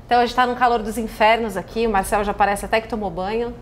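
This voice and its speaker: A woman's voice: fairly high pitch, fairly even intonation, fast talker, a crowd in the background. A woman with a Brazilian accent hosting a casual podcast, chatting informally in a playful, teasing way. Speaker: podcast host.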